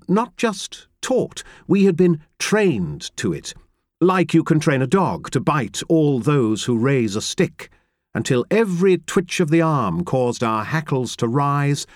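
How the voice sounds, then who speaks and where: A man reading a novel aloud in first person, with measured, reflective and grave storytelling from a close studio mic. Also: on the high side, no echo. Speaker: audiobook narrator. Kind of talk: first-person literary narration.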